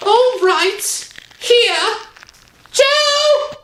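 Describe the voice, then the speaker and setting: Woman acting very high-voiced, worrying wife. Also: animated, extremely slow, a bit bright, full character voice. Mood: worried. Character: excitable, worrying working-class wife. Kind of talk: old-time radio drama acting. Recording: roomy echo.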